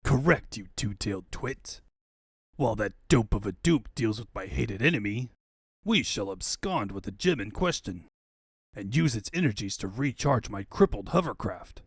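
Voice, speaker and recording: A man's voice: fairly low pitch, animated, normal speed, husky, dry recording, studio quality. A man voicing a scheming male mad-scientist villain with a pompous, gloating manner in a comic book fandub. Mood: scornful scheming.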